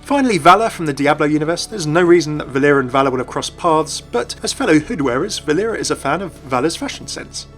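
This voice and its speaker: Higher-voiced man explaining game lore. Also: expressive, fast talker, few pauses, faint music behind. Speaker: video host.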